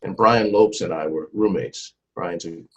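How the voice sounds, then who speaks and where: A man in his forties talking about his music career in a casual interview, animated and full of restarts and repeated words. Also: low voice, measured pace. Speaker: trumpeter and singer, interview guest. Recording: dull lo-fi sound.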